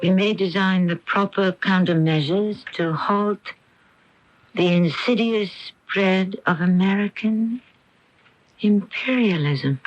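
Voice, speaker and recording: A woman's voice: mid-range voice, takes it slowly, slight background noise, lightly acted. An actress playing an agitated, insistent woman in a radio drama, speaking urgently and emphatically. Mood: grave, reciting. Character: agitated, insistent woman alarmed about a traitor. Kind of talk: 1980 radio drama acting. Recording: dull lo-fi old radio broadcast.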